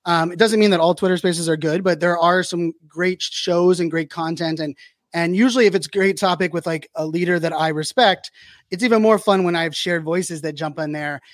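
A man's voice: sits high, steady tone, a little fast, no echo. A man in his forties hosting a podcast, chatty and upbeat with lots of fillers and restarts. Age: 40s. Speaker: podcast host. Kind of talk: casual interview chat.

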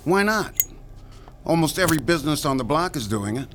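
A man's voice: a little high, sing-song, a little fast, fairly bright tone, a little hiss or hum. A man playing a menacing, mocking extortionist with a taunting drawl in a radio horror drama. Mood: mocking threat. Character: menacing male extortionist, slow, mocking bully.

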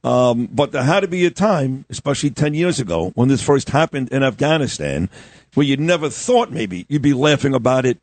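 A man's voice: mid-range voice, expressive, a little fast, darkish tone, no echo. A man hosting a morning show, introducing a guest in a rambling, chatty way. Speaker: radio show host.

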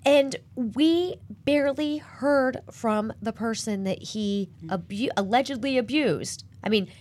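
A woman host and business reporter leading a panel discussion, animated and asking questions. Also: fairly high pitch, measured pace.

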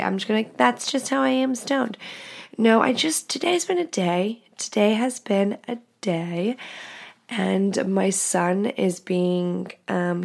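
A woman in her thirties talks alone on her podcast with a rough, gravelly rasp. Her voice is nasal and stuffed up from a cold. She swears freely and talks frankly, laughing at herself. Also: medium pitch. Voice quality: raspy, nasal and congested from a cold. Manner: blunt, profane and candid, laughing at herself. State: congested with a cold. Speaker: podcast host. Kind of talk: casual solo podcast monologue.

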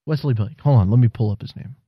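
A man's voice: mid-range voice, fast talker, breathy edge, no echo, lo-fi sound. A man co-hosting a casual wrestling chat, joking and rambling, swearing freely. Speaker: podcast co-host.

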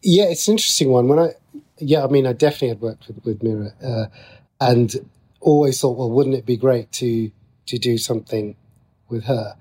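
Halting, mellow-voiced man. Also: medium pitch, husky. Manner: halting, thinking aloud, often rephrasing. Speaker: interview guest, TV and radio writer. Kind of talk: casual interview conversation.

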